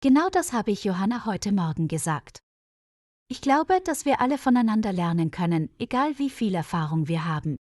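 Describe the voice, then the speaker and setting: Woman voicing an earnest, calm male nurse. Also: medium pitch, normal speed, no room sound, clean studio sound, close to an everyday voice. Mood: friendly, sincere. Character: dedicated, modest, experienced male nurse.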